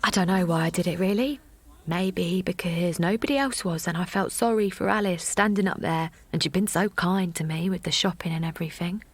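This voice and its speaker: A chatty, self-effacing young mum. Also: female voice, fairly low pitch, steady tone, fairly quick, husky. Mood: confiding. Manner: confiding, rambling storytelling with soft hushed asides. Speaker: young mother telling her story. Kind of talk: audio drama monologue.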